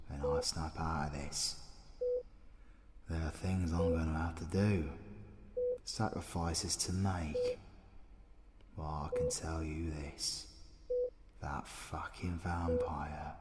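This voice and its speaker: A British man acting a wounded, proud supervillain in an audio roleplay, muttering and swearing with gruff tenderness and vengeful intensity. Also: extremely low pitch, level tone, slow pace, pauses often, reverberant, long reverb tail, noisy background. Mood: weary, resolved.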